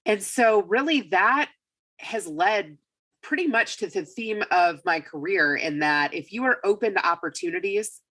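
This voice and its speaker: A woman with a raspy voice, getting over a cold, speaking as an upbeat, emphatic guest in a casual podcast interview. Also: medium pitch, animated, a little slow, a bit bright.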